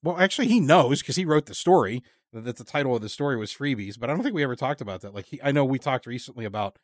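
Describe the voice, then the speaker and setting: A man interviewing someone casually as host, in a halting way that repeats words while he searches for the next. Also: mid-range voice, animated, no room sound, clean studio sound.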